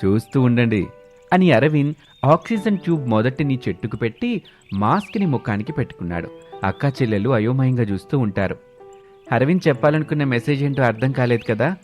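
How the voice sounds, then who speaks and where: A man's voice: medium pitch, lively intonation, a little fast. A man narrating a scripted story as its storyteller. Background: background music.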